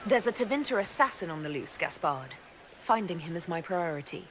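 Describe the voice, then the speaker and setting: Blunt female leader, slightly low voice, dry and unimpressed, fantasy game cutscene. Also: lively intonation, fairly quick, fairly bright tone, a little hiss or hum. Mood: cool, determined. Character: cool, blunt young elven heroine leading an order. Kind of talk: video game cutscene acting.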